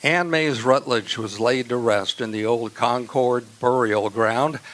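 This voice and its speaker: A male radio announcer with a mid-range voice reads from a script. His delivery is formal and measured, suited to credits and historical narration. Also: medium pitch, fairly bright tone.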